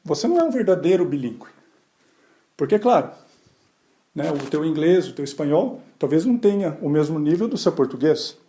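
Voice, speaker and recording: A man from Brazil hosting a podcast, explaining ideas in a relaxed, conversational way, with rhetorical questions and brief asides where he acts out other people. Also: fairly high pitch, moderate pace. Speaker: podcast host. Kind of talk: explanatory solo podcast talk.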